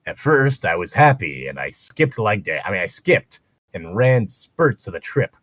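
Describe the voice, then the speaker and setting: A man's voice: on the low side, big pitch swings, unhurried, a bit bright, dry recording, full character voice. A man voicing a young Greek man fondly reminiscing, in an attempted Greek accent that slips toward posh English, for a fan fiction reading. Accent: attempted Greek, slipping toward posh English.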